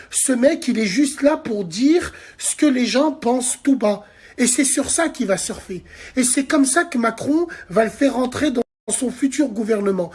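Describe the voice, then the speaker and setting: Husky, heated man ranting in the dark. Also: extremely high pitch. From France. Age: 40s. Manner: heated, crude and insulting, addresses viewers directly. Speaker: social media commentator. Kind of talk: angry political rant to camera. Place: dark bedroom at night. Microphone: phone held at arm's length.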